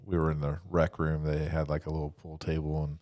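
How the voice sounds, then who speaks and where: A man's voice: extremely low pitch, monotone, fairly quick, darkish tone, dry recording, clean studio sound. A man hosting a podcast in a conversational devotional style, earnestly exhorting listeners and repeating phrases as he goes. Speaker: podcast host, church ministry speaker.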